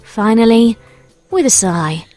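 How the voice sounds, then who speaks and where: A woman with a fairly high voice narrates a scripted story in storybook style. Faint music plays behind her. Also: animated, takes it slowly. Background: soft music.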